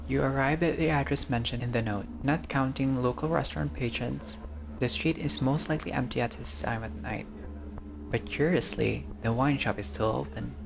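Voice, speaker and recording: Male let's-player, medium pitch, reads game prose aloud and chats casually between passages. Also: normal speed, vocal fry, noisy background. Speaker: gamer narrating a visual novel playthrough. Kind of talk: reading game text aloud with casual commentary.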